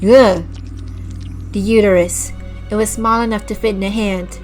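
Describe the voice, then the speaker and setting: A woman with a slightly raspy throat reads a visual novel aloud at an unhurried pace, stopping to restart stumbled words and to drop short disgusted reactions. She talks into a streaming desk mic. Background music plays clearly under her voice. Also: mid-range voice, fairly even intonation, a little mellow. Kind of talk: slow dramatic read-aloud with casual asides to chat. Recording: music playing clearly behind the voice.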